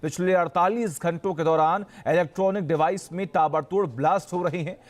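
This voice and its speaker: A man's voice: sits high, a little fast. A man hosting a news show, reading scripted narration in an emphatic, sensational, dramatic way. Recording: music behind the voice. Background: dramatic background music.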